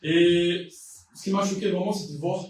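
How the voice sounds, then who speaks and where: A man's voice: high voice, fairly even intonation, moderate pace, darkish tone, slight background noise. A young man from Brazil with a Brazilian Portuguese accent, preaching an informal, conversational sermon with hesitant restarts and many fillers. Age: young adult. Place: church hall. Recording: echoey church hall, distant mic, congregation. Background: congregation responding.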